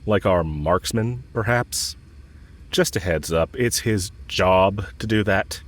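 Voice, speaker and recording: A man performs a smitten villain with a low voice, speaking straight to the listener as in an intimate audio drama. He is cocky and casual, teasing as he goes, and blunt about his affection. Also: takes it slowly. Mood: frank, teasing. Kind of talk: romantic audio roleplay, spoken intimately to the listener.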